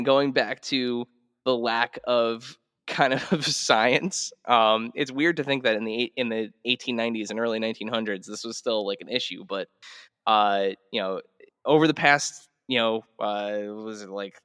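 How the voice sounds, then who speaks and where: A man hosts a relaxed history chat on a podcast. His voice sits at an average pitch with a long reverb tail. He explains things conversationally, often halting and regrouping as he goes. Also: clean tone, clean studio sound. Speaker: podcast host. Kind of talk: casual podcast talk telling history.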